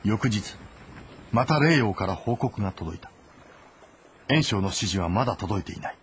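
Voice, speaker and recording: An actor as the grave storyteller of a historical war epic, delivering scripted dramatic narration. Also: male voice, fairly low pitch, animated, normal speed, a little hiss or hum. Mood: measured. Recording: music behind the voice.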